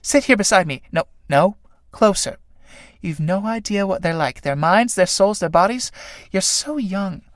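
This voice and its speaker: A performer reading a play aloud, voicing a vain, flighty female butterfly in a high, affected, gushing voice. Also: expressive, measured pace, dry recording, studio quality, lightly acted. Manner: gushing, affected, breathy swoops.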